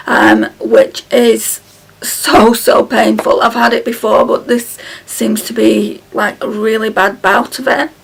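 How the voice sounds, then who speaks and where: A woman in her thirties chatting to camera at home, her voice hoarse and croaky from illness, tired and halting with many ums. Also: a little high, poor audio. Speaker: beauty vlogger. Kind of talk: casual chat to camera. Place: small room at home, indoors. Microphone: camera close in front.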